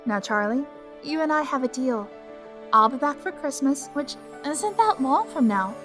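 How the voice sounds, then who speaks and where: An actress plays a reassuring mother in a family audio drama. Her voice is high and tender, addressed to a child, with children's music clearly playing behind. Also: lively intonation, normal speed, fairly bright tone.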